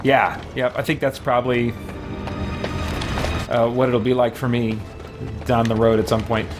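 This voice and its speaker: Male game streamer, medium pitch, casual and rambling, chatting to viewers over clearly audible background music. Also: flat delivery, a little slow. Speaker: live streamer. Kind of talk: casual chat while gaming, reading viewer comments.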